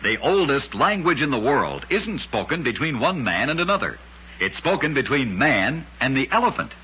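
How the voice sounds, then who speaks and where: A man's voice: medium pitch, very animated, normal speed, pushed and bright, a little hiss or hum. A man hosting and narrating scripted old-time radio trivia stories in a dramatic, emphatic manner. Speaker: radio show host and storyteller. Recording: dull, lo-fi old radio recording.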